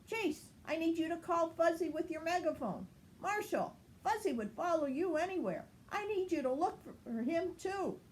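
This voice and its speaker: A young boy leader from a children's storybook, acted out by a woman reader. The voice is high and animated, earnest and urgent as it hands out instructions. There is some noise on the recording. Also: moderate pace. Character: small excitable young boy leader rallying a rescue team.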